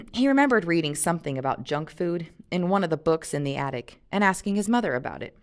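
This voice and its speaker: Lower-pitched woman reading aloud expressively. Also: expressive, brisk. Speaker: reader leading a read-aloud and discussion. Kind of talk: expressive audiobook narration with casual commentary.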